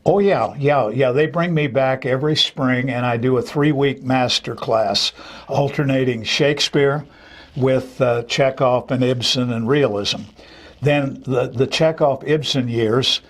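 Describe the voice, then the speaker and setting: An older man speaking off the cuff as an interview guest, a theater director who reminisces with enthusiasm. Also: medium pitch. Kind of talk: unscripted interview conversation.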